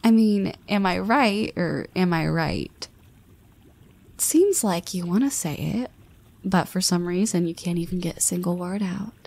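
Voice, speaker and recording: A woman's voice: on the low side, unhurried, vocal fry, a little mellow. A woman voicing a confident young woman who teases her friend, with playful half-whispered asides. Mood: teasing. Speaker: voice actress. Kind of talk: scripted audio roleplay.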